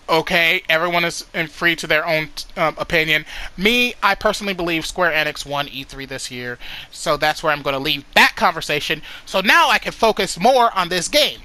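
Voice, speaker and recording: A man commentating his own gameplay with a very bright, high and lively voice. He talks excitedly, stumbling over words and filling pauses with ums. His nose sounds slightly stuffy. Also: rough recording. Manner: excitable, many fillers and restarts. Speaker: gaming channel host. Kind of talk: let's play commentary.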